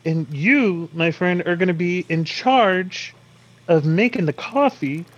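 Man playing a high-voiced, sarcastic condescending boss. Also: slow, muffled recording. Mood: patronizing. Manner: sarcastic, stammering, repeats himself. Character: condescending male office boss at a wizard outpost. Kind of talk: improvised comedy sketch.

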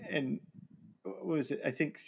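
A man, a voice actor guest in a casual conversation, self-deprecating and laughing often, with halting restarts. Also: fairly high pitch, creaky, slight background noise.

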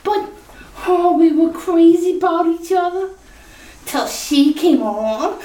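A woman plays a slangy young gun moll with a very high, squeaky voice who drawls her words out slowly. She's tough-talking but lovesick, and her lines keep tipping into sobs. The voice sounds far from the mic in an echoey room. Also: lively intonation, warm tone, heavily acted. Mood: weepy. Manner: slangy tough-girl talk, swings into sobbing. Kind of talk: 1940s radio acting. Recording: roomy echo from far off the mic.

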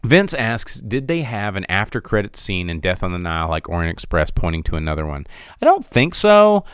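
A man's voice: sits low, sing-song, brisk, clean tone, dry recording, clean studio sound. A man hosting a podcast, giving a casual, rambling run-through of weekend box office numbers with many fillers and restarts. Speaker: podcast host.

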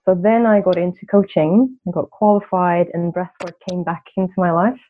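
A woman's voice: fairly low pitch, steady tone, normal speed. A woman from Turkey, a coach speaking as a podcast guest in a reflective way, with many "you know" fillers. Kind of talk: podcast interview. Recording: tinny phone-line audio.

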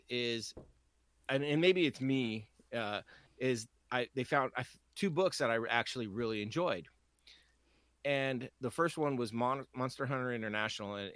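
A man's voice: mid-range voice, dry recording, clean studio sound. Profane, ranting podcast man. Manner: animated, profane, mocking voices in quotes. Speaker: podcast co-host. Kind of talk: casual ranting chat.

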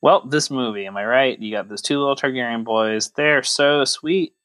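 A man's voice: mid-range voice, normal speed, fairly bright tone, no echo. A man co-hosting a podcast, chatting casually about films in a wry, mock-serious way. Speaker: podcast host. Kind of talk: casual joking film chat.

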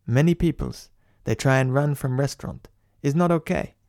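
Broken-English tough guy, soft-spoken, big and tattooed, voiced by a man, pitched up, dry close sound. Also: moderate pace, no echo, lightly acted. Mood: approving. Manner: broken English, clipped grammar.

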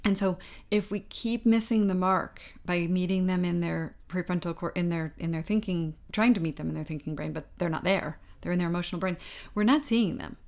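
A woman's voice: fairly low pitch, fairly quick, a little mellow. A middle-aged woman co-hosting a parenting podcast, talking in an earnest, casual way with many restarts and some laughter at herself. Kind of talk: casual conversation.

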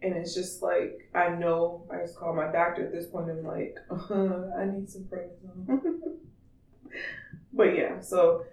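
A man with a raspy voice chatting candidly and emphatically as a podcast co-host. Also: high voice, level tone, a little slow, a little mellow. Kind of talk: casual opinionated podcast chat. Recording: distant mic in echoey room.

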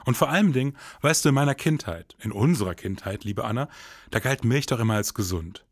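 A man's voice: mid-range voice, lively intonation, a little fast, dry recording, studio quality. A man in his forties co-hosting a podcast, moving between scripted narration and conversation. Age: 40s. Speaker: podcast co-host.

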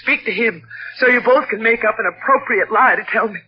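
A woman's voice: on the high side, brisk, natural voice. Actress as intense young woman, noisy old radio. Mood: demanding, agitated. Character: determined, overwrought young daughter seeking the truth. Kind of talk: 1940s radio mystery acting. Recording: noisy, dull vintage radio recording.

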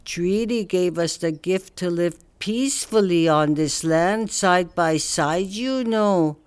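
An older woman playing a wise grandmother elder who speaks slowly and gently, telling teachings with frequent "you know". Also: fairly low pitch, smooth. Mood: patient. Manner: slow, gentle storytelling, many "you know". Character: wise Cree grandmother elder, calm and patient.

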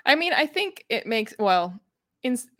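A woman's voice: on the high side, big pitch swings, moderate pace, pushed and bright, no room sound, clean studio sound. A woman co-hosting a podcast, casually discussing books in an analytical, explanatory and wry manner. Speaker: podcast co-host.

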